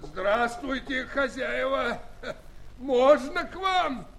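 An actor playing a curious, rustic old uncle in a children's audio play, musing and drawling. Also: male voice, very high-pitched, expressive, extremely slow, gravelly, fairly bright tone, faint music behind, heavily acted. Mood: genial visiting. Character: curious, folksy old uncle, a giant neighbour.

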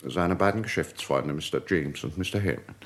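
An actor playing a formal, hesitant major who is secretary of a gentlemen's club, in a crime radio play. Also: male voice, low voice, moderate pace. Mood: shaken, hesitant. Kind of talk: German radio crime drama acting.

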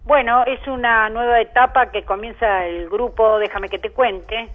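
A woman's voice: on the high side, steady tone, moderate pace. A woman with an Argentine accent, speaking over the telephone as a storyteller being interviewed on the radio. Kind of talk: conversational phone interview. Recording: muffled telephone line on radio.

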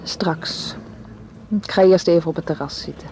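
A woman's voice: on the low side, moderate pace, background noise, lightly acted. An actress in a Dutch radio play, playing an anxious, guarded woman who speaks hesitantly and earnestly. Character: anxious, guarded woman distrustful of her male suitor.

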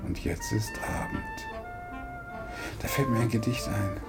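A man's voice: medium pitch, wildly swooping pitch, over loud music. An older man playing a wry, wistful elderly pensioner in a radio drama, musing with dry humour. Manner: slow, dryly humorous, musing.

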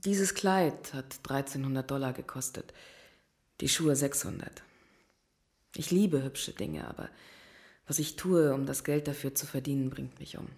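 Jaded call girl, really low creaky voice, cool and guarded, radio thriller. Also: a little slow, darkish tone. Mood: guarded, weary. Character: guarded, world-weary female prostitute in therapy. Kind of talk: German radio thriller acting.

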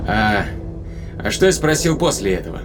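An actor playing a tender but probing spaceship captain in a Russian audio drama, speaking conversationally with deliberate pauses. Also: male voice, fairly low pitch, very animated, over music. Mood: nostalgic. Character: male spaceship captain, long-lived, tenderly probing his companion.

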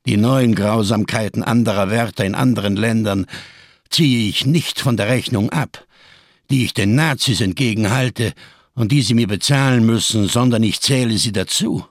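Embittered male survivor, husky and cracked, close dry sound, solemn biblical rhetoric, radio drama monologue. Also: lowish voice, normal speed, dry recording. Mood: grave, defiant. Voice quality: gravelly, husky. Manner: solemn, rhetorical, biblical diction, bitterly sardonic.

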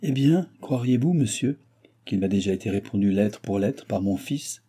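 A man's voice: lowish voice, expressive, normal speed, mellow, slightly rough. A man voicing a cynical, proud old baron in an audiobook, ranting in sardonic exclamations. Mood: bitter. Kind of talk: audiobook character dialogue.

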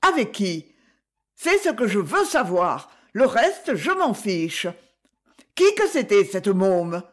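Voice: mid-range voice, sing-song, takes it slowly. A narrator voicing a jealous, passionate young Parisian woman who pleads insistently in street slang. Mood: insistent, hurt.

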